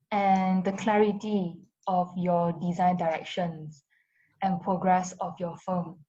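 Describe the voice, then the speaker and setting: Woman moderator, chatty, filler-heavy, mid pitch, muffled call audio. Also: darkish tone. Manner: chatty, laughs often, many fillers. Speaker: moderator of an online design talk. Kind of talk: casual video call moderation. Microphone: video call.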